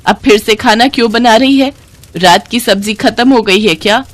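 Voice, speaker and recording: A woman's voice: a little high, normal speed, lightly acted. A woman voicing a miserly, bossy older mother-in-law who scolds and complains in a storybook tale. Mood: stern, scolding.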